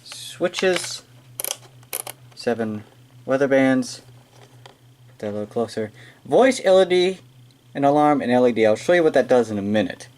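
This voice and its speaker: An American man giving a casual, halting, off-the-cuff hands-on product demonstration as he unboxes it on camera. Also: mid-range voice, expressive, a little mellow. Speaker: amateur unboxing video maker. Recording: noisy amateur room recording with clicking.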